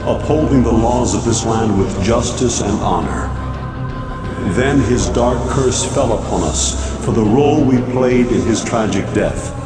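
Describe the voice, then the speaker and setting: Earnest, slow-spoken cursed knight played by a man. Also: medium pitch, roomy sound, recorded from a distance, loud music behind the voice, close to an everyday voice. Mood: pleading, remorseful. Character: pleading, cursed male former captain of king's knights. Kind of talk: dark fantasy game character acting.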